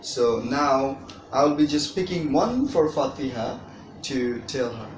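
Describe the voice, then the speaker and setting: An adult storyteller talking casually to a child, wordy and hesitant, with frequent long pauses. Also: mid-range voice, lively intonation. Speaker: storyteller guest reading to a child. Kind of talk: casual unscripted introduction to storytelling. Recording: echoey room, distant mic, noisy.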